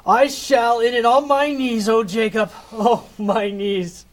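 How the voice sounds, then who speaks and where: A repentant old miser in a comic audio play, with a very high voice for a male. He speaks slowly and theatrically, full of exclamation and raw emotion. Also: steady tone. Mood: giddy joy. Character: repentant old male miser, desperate then giddily overjoyed.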